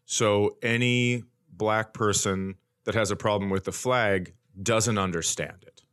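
A middle-aged American man with a fairly low voice hosts a comedy podcast. He tells stories in a casual, animated way, ranting bluntly and swearing as he goes. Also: measured pace, clear, resonant tone.

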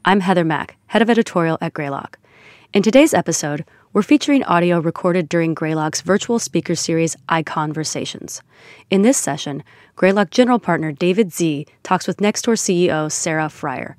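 Podcast host, woman, scripted intro, low-pitched, dry and close. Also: lively intonation, brisk, darkish tone, dry recording.

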